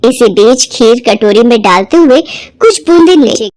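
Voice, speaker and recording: A woman storyteller narrates a scripted devotional tale. Her voice sits fairly high, and the sound is close and very dry. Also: lively intonation, a little slow, darkish tone, dry recording.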